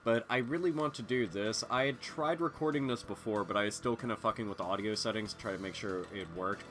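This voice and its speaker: A man's voice: fairly low pitch, fast talker. A congested man hosting a gaming channel, chatting casually over gameplay in a rambling, profane way with lots of fillers. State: congested from illness. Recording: loud game music underneath. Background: loud racing game music.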